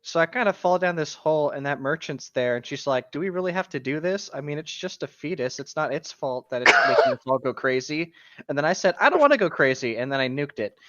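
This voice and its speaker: A man joking casually with friends in a deadpan way over a dull-sounding voice call. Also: fairly high pitch, quick pace, dry recording.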